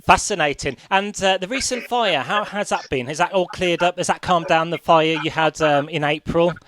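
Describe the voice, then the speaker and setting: A man interviewing someone casually, with hesitant, halting questions and short acknowledgements. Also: a little high, a bit bright, slight background noise.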